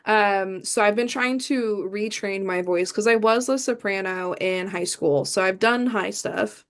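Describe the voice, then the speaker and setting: A woman's voice: mid-range voice, a little slow. A young woman from the American Midwest, answering interview questions in a chatty way, with many fillers and restarts. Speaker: idol performer, guest.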